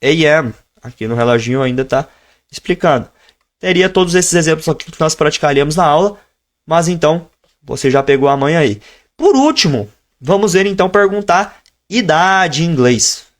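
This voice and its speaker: A man with a somewhat high voice teaches a live online lesson over a computer mic. He explains in a relaxed, chatty way, then draws out each example phrase slowly and clearly. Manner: switches between teaching tone and slow, drawn-out modeled phrases. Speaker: English teacher.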